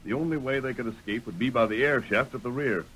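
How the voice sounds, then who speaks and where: An actor playing a steady, commanding masked cowboy hero with an American accent, in an old radio western. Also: male voice, medium pitch, close to an everyday voice. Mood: instructive. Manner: firm, deliberate, authoritative. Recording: noisy old radio recording.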